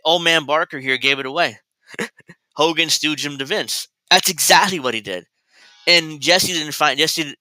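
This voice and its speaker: A man in his thirties hosts a casual commentary show with a very bright, tense voice that sounds smooth and crisp. He rambles and digresses, restarting his sentences often. The sound is dry, close and free of room echo. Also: medium pitch. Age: 30s. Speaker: host.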